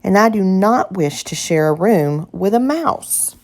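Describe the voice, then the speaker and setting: A woman's voice: mid-range voice, lively intonation, measured pace, pitched above the performer's own voice. A woman voicing a grumpy, sleepy male hotel guest who grumbles indignantly in a children's story. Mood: grumbling. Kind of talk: children's picture book character voice.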